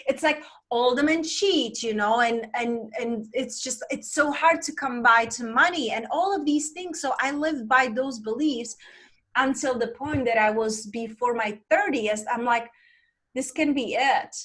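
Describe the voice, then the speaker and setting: A woman's voice: on the high side, a little slow, fairly bright tone. A woman in her thirties from the Czech Republic, with a Czech accent, telling her story earnestly in an interview as a life coach, with many fillers. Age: 30s.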